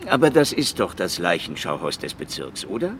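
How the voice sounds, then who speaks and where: A man's voice: deep voice, sing-song, moderate pace, a bit bright, slight background noise. An actor playing a tough, sly Soviet secret policeman with a sardonic, bullying manner in a radio crime drama. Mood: insistent, sly.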